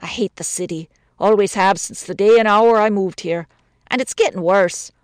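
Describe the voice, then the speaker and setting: A woman's voice: mid-range voice, normal speed, smooth, dry recording, clean studio sound, pitched above the performer's own voice, lightly acted. A woman voicing a chatty widowed countrywoman. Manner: chatty, homely, warm-hearted talk.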